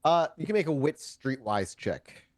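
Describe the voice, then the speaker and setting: A man runs a tabletop game as its game master. His pitch moves around expressively as he explains and rules on play in a casual way. The sound is dry, with no room around it. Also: sing-song, moderate pace, no room sound, faint music behind. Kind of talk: narrating and refereeing a tabletop game.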